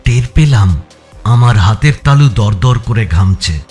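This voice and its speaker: A man narrating a suspense thriller in a dramatic, expressive audio story style, with music behind him. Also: fairly low pitch, unhurried. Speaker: narrator reading a first-person thriller.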